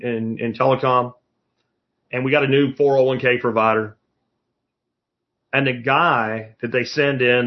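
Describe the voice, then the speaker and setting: A man's voice: mid-range voice, highs cut off. A man in his fifties giving a blunt, profane motivational talk as a podcast host, lecturing his listeners. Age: 50s.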